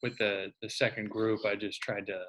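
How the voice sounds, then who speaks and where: Young male, fairly low but bright, informal, searching for words as he goes. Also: flat delivery. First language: English. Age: young adult. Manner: hesitant, halting phrasing with many "like"s. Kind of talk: casual chat. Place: online video call.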